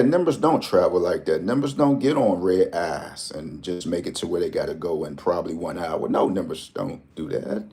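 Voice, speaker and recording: Low mellow-voiced man rambling with DC slang. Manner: animated, joking, slangy, rambling asides. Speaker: lottery tips host. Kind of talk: casual rambling vlog talk.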